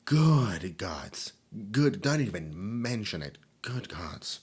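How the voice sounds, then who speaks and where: A chatty immortal demon of time, voiced by a man, rambling self-consciously with ums and little asides. The voice sits low and husky but swings widely in pitch, and now and then it sinks into a soft whisper for this ASMR roleplay. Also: big pitch swings, takes it slowly, full character voice. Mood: gossipy. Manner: drops into soft whispers at times.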